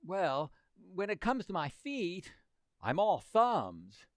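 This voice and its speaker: A woman's voice: on the low side, huge pitch swings, takes it slowly, no echo, studio quality, full character voice. Woman as a hesitant, swooping teenage boy sidekick. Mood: reluctant, worried. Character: awkward, reluctant, worried teenage boy sidekick. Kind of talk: old-time radio comedy acting.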